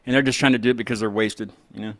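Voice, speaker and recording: A man's voice: on the low side, steady tone, racing, no room sound. Casual, joking male host, close dry sound. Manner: joking, rambling. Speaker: podcast host interviewing a band. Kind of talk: casual chat.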